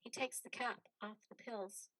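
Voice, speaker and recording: Higher-voiced woman reading stage directions. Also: fairly even intonation, normal speed, fairly bright tone, no echo, rough recording. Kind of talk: scripted narration.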